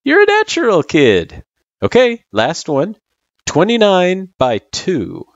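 A storyteller plays an easygoing guy in a story reading, tutoring with patience. His speech is casual and slangy, with lively swings in pitch. The recording is dry and slightly dull. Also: slow, no room sound, full character voice. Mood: encouraging.

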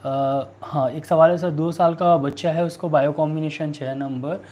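A man in his thirties with an Indian accent, a doctor answering viewer questions in a halting, repetitive way. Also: on the high side, fairly even intonation, warm tone, lo-fi sound, slight background noise. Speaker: homeopathic doctor hosting a live online clinic. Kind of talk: answering viewer questions, giving remedy advice. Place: small furnished room indoors.

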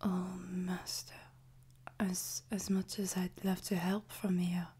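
Whispering French maid played by a woman, very slow. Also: lowish voice, little pitch movement. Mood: gentle, attentive. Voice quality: soft, breathy whisper. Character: soothing, devoted, gently dominant French maid. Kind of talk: whispered ASMR sleep-aid roleplay. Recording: noticeable background noise, ambience.

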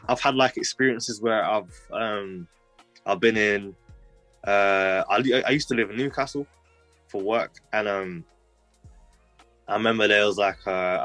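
Brief: young man, low and dry, loose rambling storyteller, faint music underneath. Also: a bit bright, no room sound, rough recording. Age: 20s. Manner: rambling, heavy use of "like" fillers, street slang. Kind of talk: casual personal storytelling.

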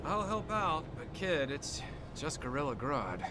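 A man's voice: on the high side, big pitch swings, a little slow, very noisy. A man voicing an earnest, quippy young speedster hero in a video game. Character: quick-witted young adult male speedster hero. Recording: studio voice over game music.

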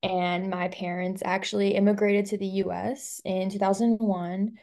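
A woman's voice: mid-range voice, little pitch movement, normal speed, darkish tone. A young adult woman from Albania, a student giving a webinar presentation over a video call, talking casually with plenty of "um" and "like" fillers.

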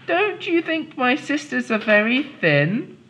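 Storybook heroine: small brave girl, polite and clever, pitched high, read by a man. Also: sing-song, slow, bright, forceful tone, slight background noise, exaggerated voice. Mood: politely sly. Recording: dull lo-fi recording.

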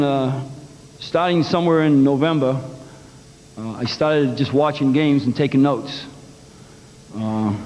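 A man's voice: medium pitch, darkish tone, muffled recording, a little hiss or hum. A middle-aged man coaching basketball speaks bluntly and with emphasis, walking through his plan point by point. He talks into a table microphone in a big press room, and a long reverb trails his words on a live TV feed. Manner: blunt, emphatic, explains plans step by step. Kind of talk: postgame press conference answers. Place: press conference room in a large arena.

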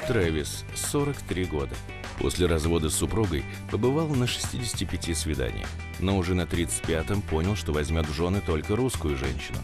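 Man, voice-over narrator, very deep voice, scripted delivery, music playing under it. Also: very animated, brisk.

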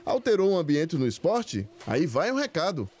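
Lively high-voiced male sports reporter. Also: moderate pace. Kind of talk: scripted news narration.

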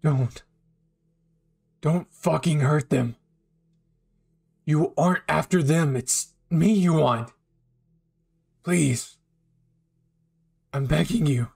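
A man voicing a desperate, gruff anime hero who pleads in a strained way for a loved one in a fan roleplay. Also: fairly high pitch, drawn-out words, pauses often, a little mellow, exaggerated voice. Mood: pleading.

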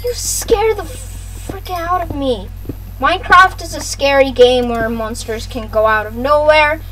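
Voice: high-pitched, measured pace, bright, forceful tone, slight background noise, low-quality recording. A gaming video host giving casual play-by-play, repeating phrases and talking to viewers. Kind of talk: casual gameplay commentary.

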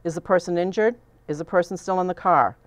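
A woman reading scripted training narration in a measured, instructional manner. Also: fairly low pitch, dry recording.

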